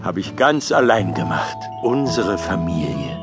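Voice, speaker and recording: A man's voice: low voice, big pitch swings, unhurried, loud music behind the voice. A man playing a big, overprotective, fretful mammoth and expectant father in a children's audio play. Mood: proud, tender. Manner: fretful, blustering.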